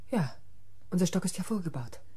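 A woman's voice: lowish voice, lively intonation, brisk, dark tone, a little hiss or hum, close to an everyday voice. An actress playing a fragile, frightened, troubled woman in a German radio thriller, speaking hesitantly and breathily. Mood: subdued. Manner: hesitant, breathy, short answers.

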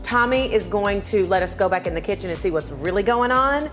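A woman's voice: mid-range voice, animated, fairly quick, faint music behind. A middle-aged woman with an American accent presents casually and enthusiastically as a food show host, gushing and exclamatory. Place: restaurant bar indoors.

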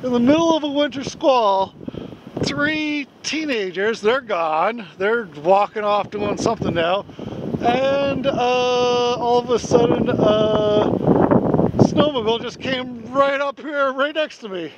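A man's voice: very high voice, takes it slowly, muffled recording, very noisy. A man in his forties chatting to his camera while camping, first outdoors in the snow and then inside a small tent at night, chuckling often and rambling with plenty of fillers. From Canada. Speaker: camping vlogger. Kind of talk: casual vlog chat to camera. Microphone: handheld camera close up, then fixed camera a few feet away.

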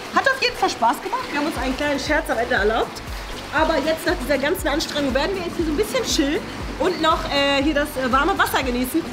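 A young woman vlog host chatting casually to camera from the water of an indoor pool. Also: high voice. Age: young adult. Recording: echoey indoor pool, loud background music. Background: loud music.

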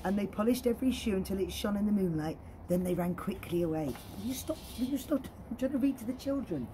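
Female children's entertainer, playful and animated storyteller, softly mellow tone, recorded outdoors. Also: mid-range voice, quick pace, a little hiss or hum, poor audio. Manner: playful, expressive. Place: outdoor garden.

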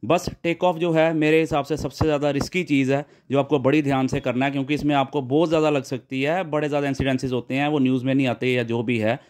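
A man's voice: mid-range voice, fairly even intonation, fast talker, hardly any pauses, a little mellow. A man giving practical advice in a casual, explanatory vlog voice-over. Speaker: vlogger.

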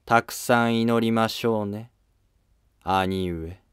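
A man's voice: on the low side, fairly even intonation, slow pace, smooth, no room sound, clean studio sound. A man voicing a conspiring younger sister at court, polite and insinuating. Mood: conspiratorial.

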